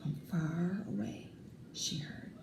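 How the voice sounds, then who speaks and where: A woman reading a children's picture book aloud as a storyteller, deliberate and expressive. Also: lowish voice, gravelly, whispered. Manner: deliberate, emphatic, with sound effects. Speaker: read-aloud teacher, storyteller. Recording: roomy echo, faint background noise.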